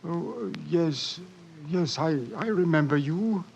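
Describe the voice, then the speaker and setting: An actor playing a drugged, desperate murderer in a 1940s radio drama, halting, stammering and groggy. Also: male voice, a little high, darkish tone, slight background noise. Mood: dazed, confused. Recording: muffled 1940s radio recording.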